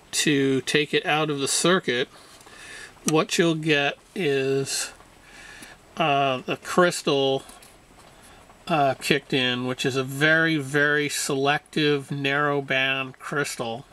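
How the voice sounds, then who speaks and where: A man giving an unscripted hands-on repair demonstration, explaining things in a halting, matter-of-fact way. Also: fairly high pitch, fairly even intonation, sharp tone. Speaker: radio restorer demonstrating repair.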